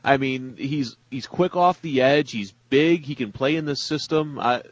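Blunt, husky male sports pundit. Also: mid-range voice, a little slow, no echo, highs cut off. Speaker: sports show panelist. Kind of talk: opinionated casual sports talk.

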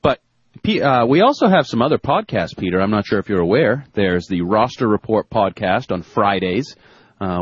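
A man's voice: fairly low pitch, slightly rough, no room sound, lo-fi sound. A man co-hosting a sports podcast in casual chat, teasing his partner and reading a listener email. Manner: joking, teasing banter.

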